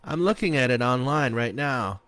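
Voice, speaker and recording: A man's voice: medium pitch, lively intonation, moderate pace, fairly bright tone, dry recording, pitched above the performer's own voice, lightly acted. A man doing a brash, crude best friend. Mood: breezy. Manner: brash, breezy, teasing.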